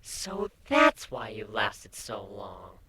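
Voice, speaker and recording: A man voicing a tender young female changeling pony, newly married, in a breathy, hushed, hesitant and emotional murmur for a story reading. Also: lowish voice, wildly swooping pitch, slow, a loud crowd, pitched below the performer's own voice, heavily acted. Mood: tender, teasing.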